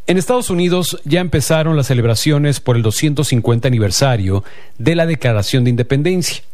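A man hosting a call-in radio program, reading the intro and then interviewing a guest in a measured announcer's manner. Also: medium pitch, expressive, a little mellow. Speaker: radio show host.

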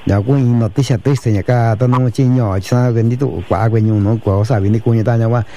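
A man's voice: medium pitch, fairly even intonation, normal speed. A man hosting a bilingual community radio show, sending long lists of cordial greetings to listeners and switching into Mixtec. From Mixteca region of Oaxaca, Mexico. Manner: warm repetitive greetings, speaks in Mixtec too. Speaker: radio host. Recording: radio broadcast.

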